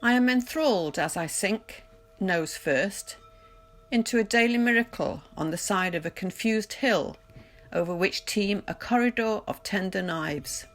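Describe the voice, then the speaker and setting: A woman reading poetry aloud in a measured, deliberate literary recitation, pausing between phrases. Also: medium pitch. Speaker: poetry reader. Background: music.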